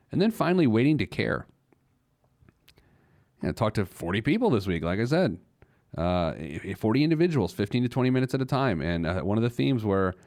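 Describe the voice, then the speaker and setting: Animated, low-voiced American man hosting. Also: no room sound, clean studio sound. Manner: emphatic, acts out imagined objections and answers them. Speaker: host of a personal finance show. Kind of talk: conversational personal finance monologue.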